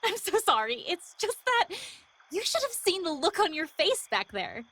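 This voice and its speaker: Teasing young woman, very high voice, lively swooping intonation, playful, game character dialogue. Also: moderate pace, exaggerated voice. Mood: amused, apologetic. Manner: playful, expressive. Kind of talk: voiced visual novel dialogue.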